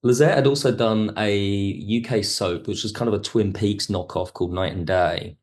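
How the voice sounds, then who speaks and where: A man's voice: lowish voice, steady tone, normal speed, darkish tone. A man talking casually as a podcast guest, a writer and director who is enthusiastic and reflective about his work. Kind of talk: casual interview chat.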